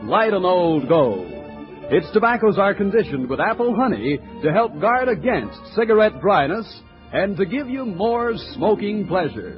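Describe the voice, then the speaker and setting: A male announcer in classic 1940s radio sponsor style. His voice is high and swings expressively. Music plays behind him on a dull old recording. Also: big pitch swings, moderate pace.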